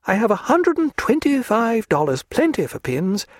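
Guy doing a giddy teasing lady, high and bouncy, dry close sound. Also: huge pitch swings, moderate pace, no room sound, full character voice. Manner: gushing, teasing, high-spirited. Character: lively teasing young English lady. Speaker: audiobook narrator.

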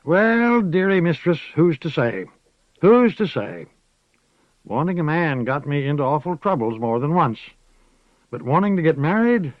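A man's voice: a little high, big pitch swings, unhurried, no room sound, voice pushed up. A man reading an audiobook, voicing an old rustic village witch woman who rambles folksily, calls people dearie and slips into chanting. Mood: folksy, knowing. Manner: rocking, chanting incantation. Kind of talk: audiobook character voice. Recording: dull, dry lo-fi recording.

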